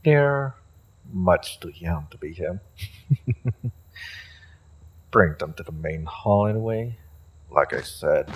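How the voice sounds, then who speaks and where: A man's voice: sits low, lively intonation, slow, pauses often, slightly rough, exaggerated voice. A man playing a haughty evil sorcerer in a fantasy roleplay audio drama, theatrical, condescending and musing. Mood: impatient, dryly amused. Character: haughty evil male sorcerer leading a raid.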